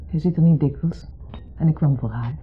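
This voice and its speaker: A woman playing a conciliatory wife in a 1970s radio play, placating and earnest, sometimes hushed. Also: deep voice, normal speed, husky. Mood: apologetic, pleading. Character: conciliatory wife caught between husband and ex. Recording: muffled phone-like old radio recording.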